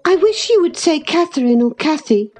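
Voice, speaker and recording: Woman voicing a high, spirited young girl. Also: expressive, moderate pace, a little mellow, faint music behind. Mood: earnest, affectionate. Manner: pert, emphatic. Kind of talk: audiobook character acting.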